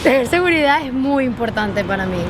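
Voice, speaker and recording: High-voiced woman over traffic. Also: fairly quick. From Venezuela. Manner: animated, mixes in English words. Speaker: co-host. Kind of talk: casual chat walking outdoors. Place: city street outdoors. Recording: loud street noise behind.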